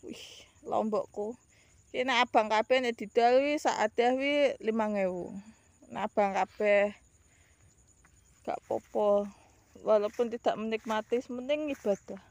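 A woman's voice: on the high side, measured pace, dry recording. A woman in her thirties with a Javanese ngapak accent, chatting to viewers in a casual vlog, rambling and calling them guys, filmed outdoors on a phone held at arm's length. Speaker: vlogger. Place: outdoors by rice fields.

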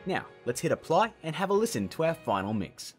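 A man's voice: fairly high pitch, big pitch swings, fairly quick, breathy edge. A man hosting a tutorial with scripted narration and chatty, informal asides. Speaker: tutorial host. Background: background music.

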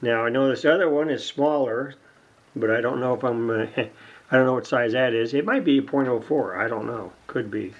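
A man giving unscripted, casual narration of hands-on repair work, halting and muttering to himself as he goes. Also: mid-range voice, highs cut off. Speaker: hobbyist radio repairer, video host. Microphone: camera mic near the workbench.

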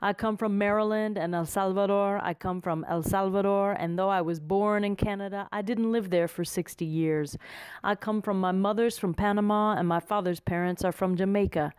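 A woman's voice: mid-range voice, fairly even intonation, normal speed, a little mellow, smooth, no room sound. A woman speaking in short, brief declarative lines. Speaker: workshop participant. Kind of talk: short spoken statements.

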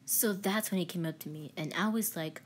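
A woman voicing a brash, dramatic, excitable princely man in a comic dub, theatrical and exclaiming. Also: lowish voice, a little fast, natural voice. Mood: excited. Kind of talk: fan comic dub reading.

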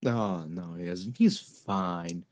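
A man's voice: deep voice, slow pace, a little mellow, slight background noise. A man voicing a mischievous cartoon rabbit in a fandub, casual, teasing and smug.

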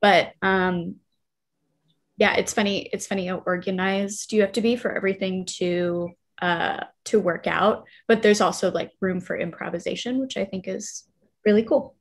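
Young female actor, medium pitch, halting and conversational, answering a question over video call. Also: steady tone. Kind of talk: casual Q&A answer. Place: indoor room, video call.

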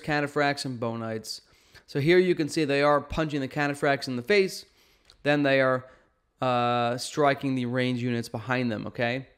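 Clear-voiced man commentating on gameplay. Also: medium pitch. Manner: emphatic, checks in often with "okay" and "right". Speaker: gaming video host. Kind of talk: casual game strategy commentary. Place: indoor room at home.

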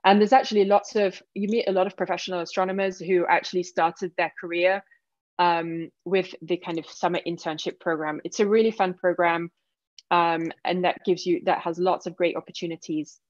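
A woman in her thirties giving a relaxed seminar talk over a video call, with many fillers. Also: fairly low pitch, moderate pace, no room sound, muffled recording. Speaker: guest speaker.

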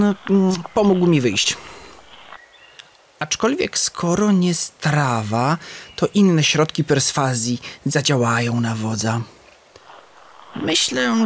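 Guy doing a slow fussy scheming cook, voice swoops up and down, light hiss behind. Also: fairly high pitch, full character voice. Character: fussy male camp cook and go-between. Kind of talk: comic-book audio drama acting.

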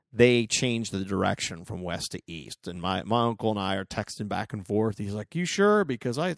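A middle-aged man hosting a solo podcast, rambling casually with lots of stammered restarts and a slightly hoarse voice. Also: lowish voice, no echo, clean studio sound. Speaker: podcast host.

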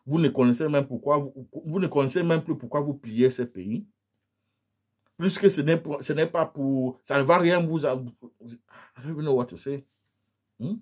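A man in his thirties from Cameroon, a native English speaker with a Cameroonian Anglophone accent, giving animated commentary to a phone camera in a small room, repeating rhetorical questions in a scolding tone. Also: on the high side, moderate pace. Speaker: political vlogger. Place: small room indoors with colored lights.

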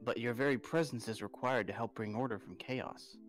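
A man's voice: mid-range voice, fairly quick, soft background music, lightly acted. A man playing an earnest security program guarding a digital world in an amateur sci-fi audio drama. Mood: concerned.

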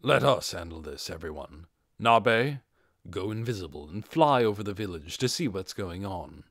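A man's voice: deep voice, big pitch swings, normal speed, fairly bright tone, no echo, studio quality, full character voice. A man voicing a composed, commanding adventurer leader in a fantasy audiobook.

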